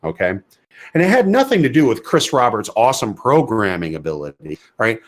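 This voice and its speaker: A man's voice: medium pitch, very animated, brisk. A man co-hosting a podcast in an opinionated, casual discussion, emphatically asking and answering his own questions. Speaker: podcast co-host.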